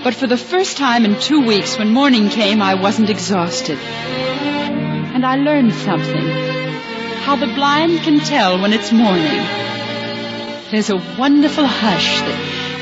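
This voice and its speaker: An actress playing a fragile, pensive young American woman in a 1950s radio drama, speaking in a dramatic, reflective way. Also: fairly high pitch. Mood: pensive wonder. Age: young adult. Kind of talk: 1950s radio drama acting and first-person narration. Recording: muffled old radio transcription.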